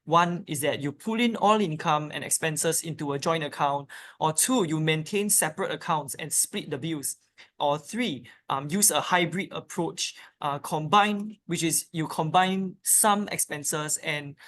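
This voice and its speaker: This host runs a live webinar in an upbeat way, with lots of ums between points. The voice sits at a middling pitch. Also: a little slow, a bit bright.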